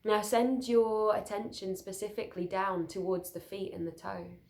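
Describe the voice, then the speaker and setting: A woman leading a guided sleep meditation, speaking soothingly and gently in short, unhurried phrases with pauses. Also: medium pitch, flat delivery.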